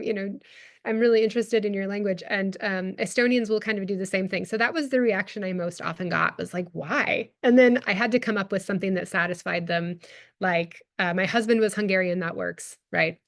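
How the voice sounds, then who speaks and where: American woman, 30s, guest speaker, hesitant, fillers and restarts. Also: mid-range voice. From United States. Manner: hesitant, many fillers and restarts, laughs often. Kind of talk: lecture Q&A answer.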